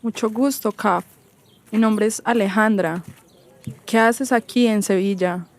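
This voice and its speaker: A woman's voice: medium pitch, fairly even intonation, a little slow. A woman from Spain chatting politely and encouragingly with a stranger in a park. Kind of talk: friendly casual conversation. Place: outdoors in a park.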